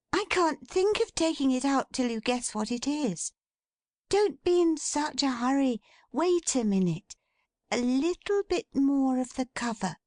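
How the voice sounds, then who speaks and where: Woman doing a gleeful, high-pitched young girl. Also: a little mellow, no echo, clean studio sound. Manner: eager, playful, coaxing.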